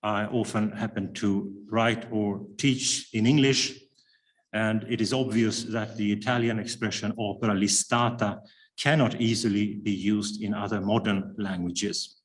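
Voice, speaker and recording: Man giving a measured lecture. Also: on the low side, steady tone. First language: Swedish. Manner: measured and careful, with frequent hesitation fillers. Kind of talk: academic lecture read from a prepared paper.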